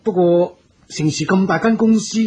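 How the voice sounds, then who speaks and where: An actor playing a loyal, tactful aide in a radio drama, hesitant and soothing, with a Hong Kong Cantonese accent. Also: male voice, sits high, normal speed, a little mellow, breathy edge. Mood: deferential, concerned. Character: loyal, tactful male aide to a stern boss.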